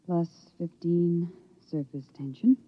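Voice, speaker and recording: An actress playing a cold, repressed genetics professor in a 1950s radio drama, with formal, commanding delivery. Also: female voice, lowish voice, fairly even intonation, slow, husky. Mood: cool, clinical. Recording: dull old radio recording.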